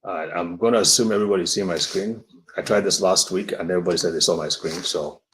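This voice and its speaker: A man's voice: deep voice, steady tone, fast, fairly bright tone, poor audio. A man from Nigeria, a doctor, giving an earnest live-stream health talk and answering viewer comments, often repeating words as he explains.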